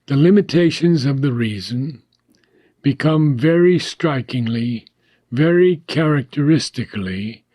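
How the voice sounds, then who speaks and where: A man reading a philosophical text aloud as an audiobook, in a deliberate, measured manner with short phrases and frequent pauses. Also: mid-range voice, animated, mellow, dry recording. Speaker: audiobook reader.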